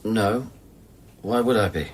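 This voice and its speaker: A man's voice: on the low side, slow, a little hiss or hum. An actor in a radio drama playing an uneasy, guarded man who humours his uncanny lover with terse, placating replies. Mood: wary, dazed. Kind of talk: intimate radio drama acting.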